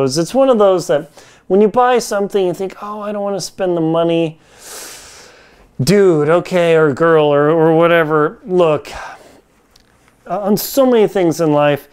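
Clear, higher-pitched man chatting through a review. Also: darkish tone. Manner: informal, conversational, slangy. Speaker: product reviewer. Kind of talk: casual review chat to camera. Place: small home kitchen, indoors. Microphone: lapel mic.

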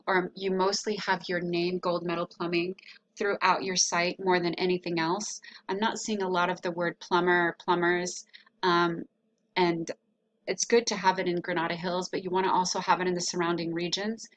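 A woman presenting a website audit as a consultant, talking through a screen share in a casual, explanatory way with hesitant pauses. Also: lowish voice, a bit bright.

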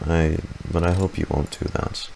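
A young man giving casual, low-key commentary while reacting to a video game in his room on a desk mic. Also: steady tone, normal speed, creaky, background noise. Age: young adult. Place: small home room. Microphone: desk mic on a boom arm. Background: game music.

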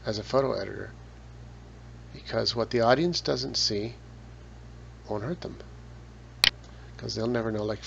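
A man talking through a photo editing tutorial step by step, relaxed, with casual asides. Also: mid-range voice, gravelly. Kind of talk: screen-recorded software tutorial. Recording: dull lo-fi voice with loud noise.

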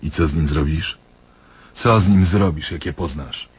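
An actor playing a diabolical, sarcastic doctor who taunts his patient theatrically in a husky voice, in a radio drama. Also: rumbling low, normal speed, exaggerated voice. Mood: sardonic taunting.

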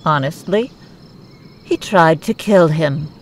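Woman playing sardonic female warrior, low pitch, no-nonsense, audio drama, faint chirping background. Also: slow pace, slight background noise. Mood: matter-of-fact. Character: hard-edged sardonic female warrior leading a rescue. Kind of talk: fan audio drama acting.

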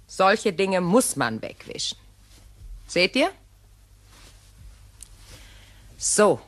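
A woman's voice: mid-range voice, background noise. A woman playing a proud, bitter, middle-aged betrayed wife in a crime radio drama, theatrical and sarcastic. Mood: defiant. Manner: theatrical, sarcastic, deliberate repetition.

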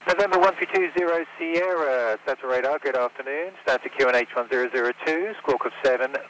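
A man working as an air traffic controller, giving brisk instructions over the radio. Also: mid-range voice, fairly bright tone, poor audio. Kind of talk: radio phraseology. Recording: radio transmission heard over headset.

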